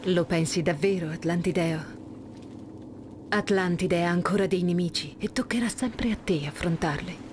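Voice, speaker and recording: A woman's voice: on the low side, normal speed, background noise, natural voice. A woman voicing a solemn goddess who guides and warns a hero in a video game. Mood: solemn, warning. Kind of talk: dubbed video game cutscene acting.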